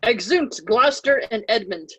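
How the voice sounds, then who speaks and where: A woman's voice: fairly high pitch, animated, normal speed, sharp tone, slightly rough, low-quality recording. A woman reading out stage directions as scripted narration.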